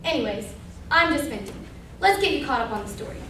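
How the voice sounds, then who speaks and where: A woman playing a high-voiced, wry landlady ghost in echoey hall. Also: expressive, fast talker, pushed and bright. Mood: wry, exasperated. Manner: chatty asides to the audience, exasperated, colloquial. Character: wry, blunt murdered landlady narrating her own death, female. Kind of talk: amateur stage comedy acting. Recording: echoey stage recorded from far, faint noise.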